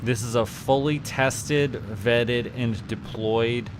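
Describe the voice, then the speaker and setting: A man's voice: mid-range voice, fairly even intonation, slow pace, noisy background. A man giving casual livestream game commentary, thinking aloud as he goes. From Canada. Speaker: game streamer.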